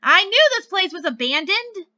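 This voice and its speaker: A woman voicing a chatty, outspoken, excitable girl with an emphatic manner in a story reading. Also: extremely high pitch, normal speed, dry recording, dull audio, voice pushed up, full character voice. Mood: doubtful.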